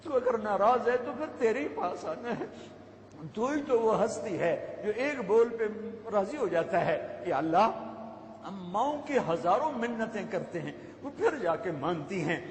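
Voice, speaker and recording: A man's voice: high-pitched, lively intonation, slight background noise. A man leading a fervent, pleading Ramadan supplication in a mosque, repeating his invocations. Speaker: prayer leader. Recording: echoey hall with faint ambience.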